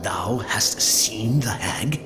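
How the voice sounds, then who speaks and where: A man playing a grave, haunted, aging holy man in a fantasy game, with solemn archaic speech that is often breathy and hushed. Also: fairly low pitch, lively intonation, slow pace, noisy background. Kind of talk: dramatic fantasy game acting. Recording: echoey room, loud music behind.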